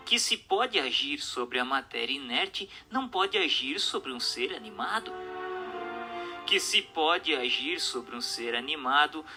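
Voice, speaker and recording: A man reading a doctrinal text as dramatic, formal, declamatory scripted narration. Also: a little high. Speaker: narrator of an audiobook radio drama.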